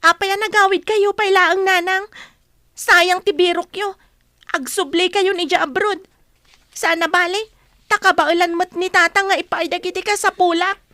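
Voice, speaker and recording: Very high-pitched, hurt teenage daughter. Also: female voice, steady tone, normal speed, sharp tone, no room sound. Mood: bitter, reproachful. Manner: plaintive, reproachful. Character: hurt, resentful teenage daughter of a poor family. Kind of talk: radio drama acting.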